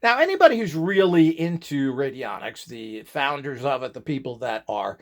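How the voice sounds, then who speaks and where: A man giving an informal, rambling lecture as an instructor, opinionated and emphatic, with a gravelly voice. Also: mid-range voice, big pitch swings, moderate pace. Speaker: instructor in radionics.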